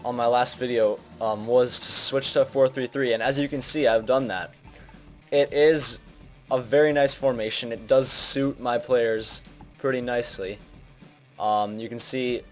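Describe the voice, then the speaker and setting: A man's voice: mid-range voice, darkish tone. A young man giving casual, unscripted video game commentary in an informal, hesitant and self-deprecating way. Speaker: gaming video host.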